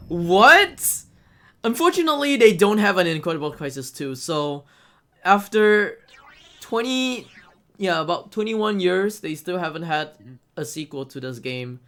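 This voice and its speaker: A speedrunner from Singapore giving casual, rambling live game commentary in an excitable, giggly manner. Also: mid-range voice, unhurried.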